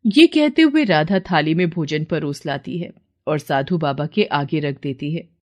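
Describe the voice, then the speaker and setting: Low-pitched woman narrating a story. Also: expressive, measured pace. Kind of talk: scripted moral-story narration.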